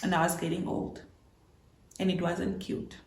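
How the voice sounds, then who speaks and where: A woman's voice: lowish voice, measured pace, gravelly. Chatty young woman in an echoey room. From Namibia. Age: 20s. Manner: chatty, animated retelling with playful asides. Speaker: vlogger. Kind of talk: casual storytelling to camera.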